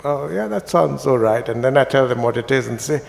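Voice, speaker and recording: A man's voice: mid-range voice, fairly quick, vocal fry. A male author speaking on a festival panel, reflective and building to emphatic points. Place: literary festival stage. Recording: reverberant festival hall on PA.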